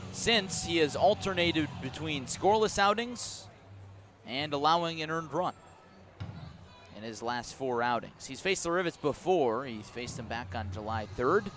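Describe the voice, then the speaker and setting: An American man calling a baseball game live on the radio, describing play in measured, short clipped phrases. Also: medium pitch. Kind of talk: live radio sports commentary.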